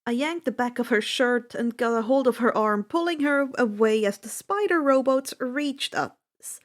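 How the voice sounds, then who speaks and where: A woman reading a first-person adventure story aloud as an expressive, dramatic narrator. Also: a little high, normal speed. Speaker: audiobook-style fan fiction reader.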